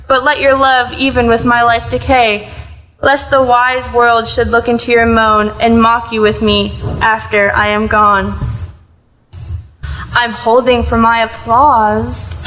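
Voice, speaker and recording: A woman's voice: on the high side, pushed and bright, slight background noise, low-quality recording. A woman acting in a school audio play as a theatrical, eager English teacher with a declamatory, chiding streak. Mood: grand.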